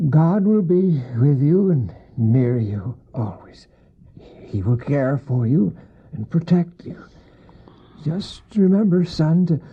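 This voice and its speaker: On a dull old cassette, an actor plays a gravely ill father in an earnest radio drama. His voice is husky and gravelly, weak with breath, and he speaks haltingly as a gentle, devout man. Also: a little high, heavily acted. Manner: halting, slow, faltering.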